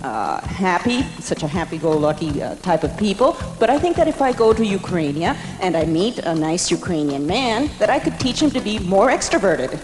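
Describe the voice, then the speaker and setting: A woman's voice: lowish voice, very animated, moderate pace, hardly any pauses, music in the background. A woman interpreting for a pageant contestant on stage, hesitant and correcting herself as she goes.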